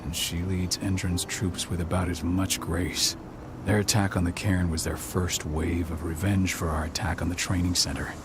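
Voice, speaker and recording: A man playing a stern, weary pack leader in a video game, speaking gravely and with measured authority. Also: low-pitched, flat delivery, background noise. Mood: grim. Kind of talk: video game cutscene acting. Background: music.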